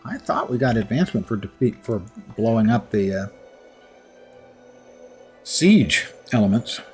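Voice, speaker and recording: A man's voice: on the low side, very animated, measured pace, darkish tone, faint music behind. A man chatting casually and rambling while he livestreams a game. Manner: rambling, self-mocking.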